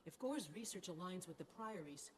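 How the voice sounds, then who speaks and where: An actress playing a polite, scholarly gnomish researcher in a fantasy game, speaking formally. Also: female voice, on the low side, lively intonation, normal speed, a little mellow, slight background noise, lightly acted. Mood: courteous, keen. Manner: formal, courteous.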